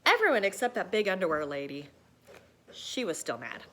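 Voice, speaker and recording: A woman reading a children's picture book aloud like a teacher, with expressive, theatrical storytelling. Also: mid-range voice, normal speed.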